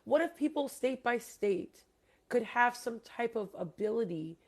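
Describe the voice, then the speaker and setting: Woman host from Hawaii, gravelly voice, rambling emphatic talker, keeps quizzing her guests. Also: a little high, expressive, unhurried, a little mellow. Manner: rambling, emphatic, asks listeners questions. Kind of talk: casual debate chat.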